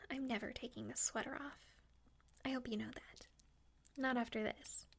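A woman speaking in an intimate, affectionate roleplay style to a partner, breathy and often dropping into a whisper. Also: fairly high pitch, fairly even intonation, unhurried, a bit bright. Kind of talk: intimate erotic audio roleplay, addressing a partner.

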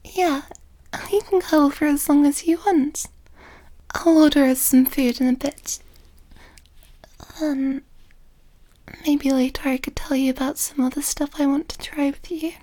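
A woman voicing an affectionate lover in an intimate audio roleplay, with breathy, halting whispers. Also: sits high, fairly even intonation, mellow. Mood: tender, shy. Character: amorous, tender female lover with a tail.

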